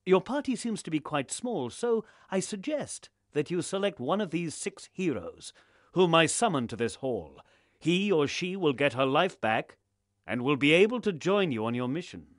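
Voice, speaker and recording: Solemn, swooping fantasy lord. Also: male voice, fairly high pitch, very animated, no room sound, studio quality. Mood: formal. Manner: formal, measured, archaic courtly phrasing. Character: solemn male lord of a hall of gods, formal, commanding. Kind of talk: fantasy game cutscene acting.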